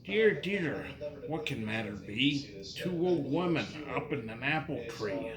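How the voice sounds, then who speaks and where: Slow-reading dad in an echoey room. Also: male voice, medium pitch, very animated, a crowd in the background. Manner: sing-song, unhurried, pausing and repeating lines. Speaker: father reading to his child. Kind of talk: reading nursery rhymes aloud from a book.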